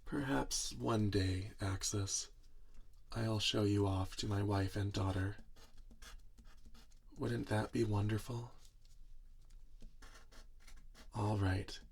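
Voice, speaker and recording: A man acts out a doting, weary inventor father in an ASMR roleplay. He speaks softly and very slowly, with little rise or fall. The voice is low and tender, as he muses to the robot he built. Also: steady tone, vocal fry, slightly rough, exaggerated voice. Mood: hopeful, gentle. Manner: slow, tender, musing, talking to a robot listener. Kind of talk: soft-spoken ASMR roleplay.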